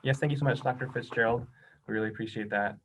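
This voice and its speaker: A man's voice: medium pitch, fairly even intonation, fast talker, slight background noise, rough recording. A man moderator politely thanks the speaker and introduces the next part of a conference.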